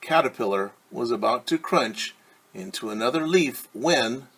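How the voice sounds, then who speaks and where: A man with a slightly husky, mid-pitched voice reads aloud like a teacher at storytime. He takes his time, putting questions to young listeners and explaining in simple terms. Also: lively intonation, measured pace, a bit bright, rough recording. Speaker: teacher reading a storybook to children.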